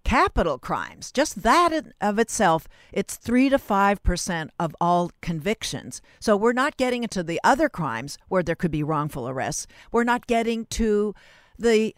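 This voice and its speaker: A woman's voice: medium pitch, very animated, normal speed, dry recording, clean studio sound. A woman hosting an interview in a conversational style, discursive and often rephrasing her sentences mid-thought. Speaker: interview host.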